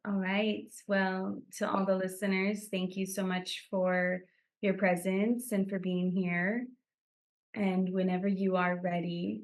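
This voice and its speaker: A woman podcast guest speaking in a soothing, deliberate way, as if guiding listeners through a meditative blessing. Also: on the low side. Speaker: podcast guest, cacao business founder.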